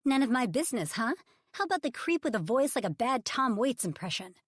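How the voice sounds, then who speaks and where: A woman voicing a high-strung female Hollywood agent whose voice is high-pitched, strained, loud and scolding. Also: animated, fairly quick, dry recording, clean studio sound. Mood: angry scolding.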